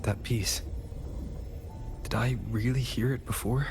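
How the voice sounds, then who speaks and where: Man playing observant male protagonist, serious, earnest, medium pitch, video game drama, music behind. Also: fairly even intonation, slow pace, natural voice. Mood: puzzled. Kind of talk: scripted video game voice acting.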